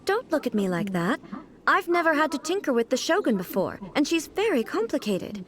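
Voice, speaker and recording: Game goddess, female, composed, proud, contemplative, measured articulate speech, somewhat high pitch. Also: very animated, a little hiss or hum. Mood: defensive. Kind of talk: video game voice acting.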